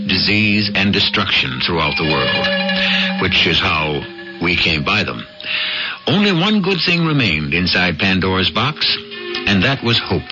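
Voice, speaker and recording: A man narrates a radio mystery with theatrical, wry flair. His lowish voice moves expressively over clearly audible music. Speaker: host and narrator of a radio drama series. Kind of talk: scripted dramatic narration. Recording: dull old radio broadcast. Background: prominent music.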